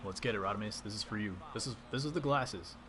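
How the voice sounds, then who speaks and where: A man's voice: on the low side, fast, crowd noise. A man casually commentating his own baseball video game on a live stream, chatting with and answering his viewers as he plays. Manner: chatty, reads and answers chat while playing.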